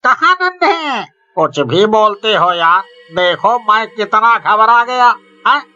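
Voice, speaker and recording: Guy doing a worried cartoon villager, really high voice, slow dramatic pleading, village talk, muffled lo-fi sound with music. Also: lively intonation, pushed and bright, exaggerated voice. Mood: panicked. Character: frightened village men in a haunted tale. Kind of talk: Hindi horror cartoon character acting.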